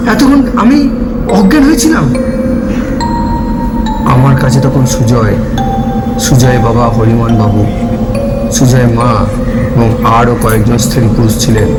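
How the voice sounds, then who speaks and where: A man narrating a scripted horror story in a suspenseful, dramatic manner. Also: mid-range voice. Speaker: first-person narrator of a ghost story. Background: loud background music.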